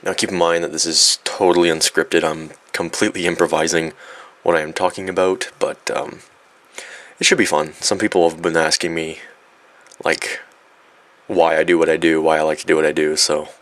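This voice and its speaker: A young man, raspy from a cold, talking unscripted in a rambling, self-mocking way with lots of fillers. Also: rumbling low, fairly even intonation, a little fast, a bit bright, no echo. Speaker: video creator. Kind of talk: unscripted vlog.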